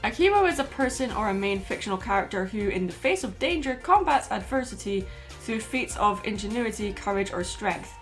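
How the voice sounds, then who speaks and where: A woman's voice: medium pitch, lively intonation, a little fast, faint music behind. A woman hosting and narrating a scripted video essay.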